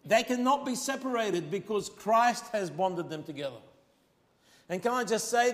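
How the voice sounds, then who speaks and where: A man with an Arabic accent whose first language is Arabic, preaching a sermon with emphatic, drawn-out key phrases. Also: high voice. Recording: long hall reverb.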